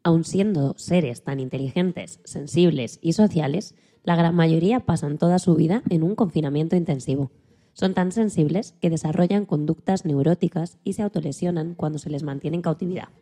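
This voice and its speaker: A woman's voice: sits low, moderate pace, warm tone. A woman co-presenting a radio program with scripted narration.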